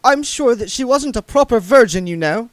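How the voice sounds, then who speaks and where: A man voicing a shaken, sharp-tongued young woman, in a high, breathless voice. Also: animated, moderate pace, no echo. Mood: shaken, indignant.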